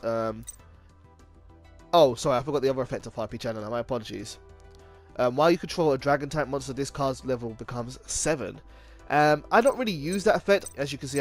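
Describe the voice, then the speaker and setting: Man, mid-pitched voice, unscripted game commentary, halting and informal, self-correcting, soft music behind. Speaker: video game deck profile host.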